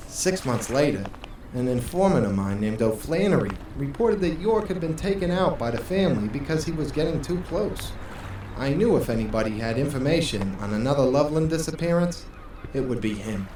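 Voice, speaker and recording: A man's voice: mid-range voice, animated, a little fast, warm tone, slight background noise, close to an everyday voice. A man playing a hard-boiled, world-weary 1940s private investigator in a noir radio drama, with a wry, brooding manner. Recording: roomy, distant microphone, music underneath.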